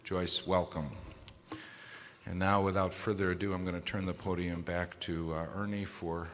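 A man presiding as society president, giving a formal award ceremony speech in a measured way with frequent hesitation fillers. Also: deep voice, level tone, fairly bright tone, slight background noise. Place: large conference hall. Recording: echoey conference hall.

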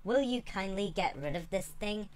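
Man playing a shouting, bullying jungle monkey. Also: sits high, expressive, moderate pace, voice pushed up. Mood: scornful. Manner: shouting, scornful. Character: rude, bullying male jungle monkey brothers. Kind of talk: storybook character voice.

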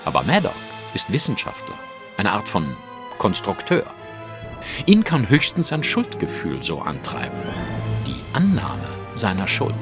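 An actor playing a shrewd, brooding master detective in a German audio drama, speaking in a measured, articulate, formal way. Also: male voice, deep voice, huge pitch swings, over music, exaggerated voice. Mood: grave, pondering.

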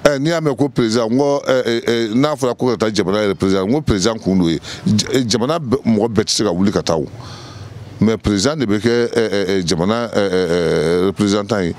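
A man's voice: lowish voice, a little fast, a little mellow. A middle-aged man with a Malian accent giving his opinion outdoors in a street interview, emphatic and full of hesitations, speaking into a handheld mic. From Mali. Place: outdoors in a courtyard. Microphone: handheld mic held by interviewer.

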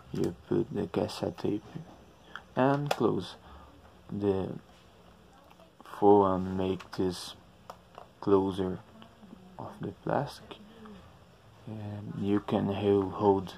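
Very slow-talking man with gravelly voice. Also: lowish voice, darkish tone, slight background noise. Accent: non-native English. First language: not English. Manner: halting, searching for words. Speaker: DIY tutorial host. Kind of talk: informal how-to narration.

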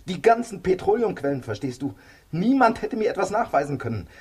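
Husky, bitter villain. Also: male voice, high voice, sing-song, brisk, darkish tone, heavily acted. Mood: bitter, agitated. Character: exposed criminal brother, bitter, greedy, ranting. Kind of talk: 1960s German radio drama acting.

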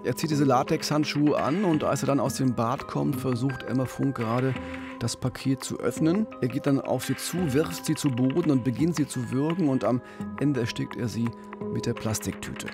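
A man's voice: mid-range voice, normal speed. A man in his fifties co-hosts a true-crime podcast. He tells the case in a conversational way, close on a studio microphone, with music underneath. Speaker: podcast co-host. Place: radio studio. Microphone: studio microphone on boom arm with pop filter. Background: background music.

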